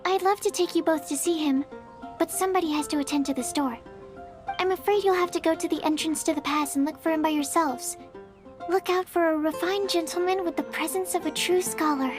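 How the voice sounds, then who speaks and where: A high, shy alchemy assistant. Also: female voice, brisk. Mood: timid. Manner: timid, apologetic, polite. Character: shy, nervous young female alchemy assistant. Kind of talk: video game cutscene dialogue. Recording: game cutscene with music behind. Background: game music.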